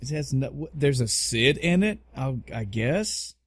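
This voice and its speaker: A man's voice: medium pitch, big pitch swings, unhurried, breathy edge, dry recording. Guy in his 30s, podcast chat, blunt n jokey, swears a lot, repeats himself, real dry sound. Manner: blunt, joking, swears freely; repeats phrases for emphasis. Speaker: podcast co-host. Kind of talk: casual opinionated podcast chat.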